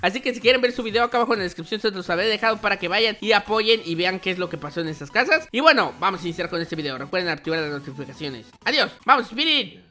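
A young man with a high, youthful voice hosts a playful, exclamatory gaming roleplay. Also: animated, fast talker, few pauses, sharp tone. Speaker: gaming video host. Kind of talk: excited gameplay roleplay chat. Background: background music.

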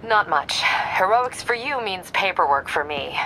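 A woman playing a dry, matter-of-fact police captain in a video game, quietly supportive. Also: mid-range voice, animated, unhurried, background noise.

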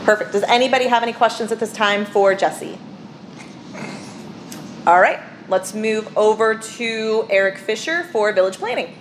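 A woman mayor chairing a village council meeting, moving briskly through the agenda in a casual way with many fillers. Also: mid-range voice, expressive, a little hiss or hum, rough recording.

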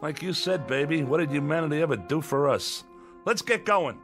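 An actor playing a bossy, impatient small-time crook in a radio drama, brash and wisecracking. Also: male voice, a little high, lively intonation, normal speed. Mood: scheming, excited. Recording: radio drama with music underneath.

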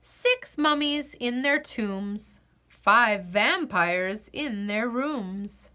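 A woman reading a children's picture book aloud in an expressive, sing-song storyteller's delivery. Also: a little high, extremely slow.

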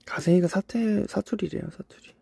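A young man hosts a voice-only livestream, chatting and reminiscing with a faint Cheongju accent. His voice is mellow and gravelly, with a husky edge, recorded dry and close. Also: sits high, moderate pace, no echo. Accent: Cheongju dialect traces in Korean. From South Korea. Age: young adult. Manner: relaxed, reminiscing, soft asides. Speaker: idol livestream host. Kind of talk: casual livestream chat reading comments. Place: indoors, voice-only stream.

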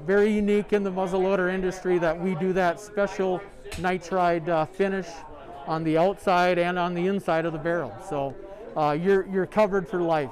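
A middle-aged man, a sales rep, showing products in a large store, talking in a halting way full of ums and uhs. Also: fairly high pitch. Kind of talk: product demo. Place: large sporting goods store, indoors.

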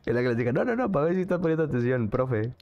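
A young Mexican man streams a cooking game, chatting loosely and joking with viewers. His voice sits at a middle pitch. Soft music plays faintly underneath. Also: sing-song, fast talker, lo-fi sound. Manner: chatty, jokey, answers chat while playing. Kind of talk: casual gaming commentary.

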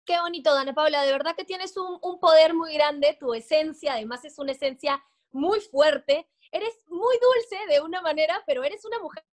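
A woman's voice: sits high, moderate pace. A young woman hosting a casual interview over a video call from home, effusive and emphatic. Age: young adult. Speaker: radio show host, interviewer. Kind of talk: casual, enthusiastic interview chat. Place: room at home, indoors.

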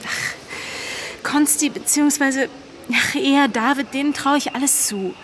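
Anxious, high-voiced undercover investigator. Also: female voice, a little slow, fairly bright tone. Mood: panicky, hesitant. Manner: hesitant, faltering, breathy half-whispers. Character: anxious, exhausted female undercover investigator near breaking point. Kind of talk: naturalistic audio drama acting. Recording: noisy outdoor ambience.

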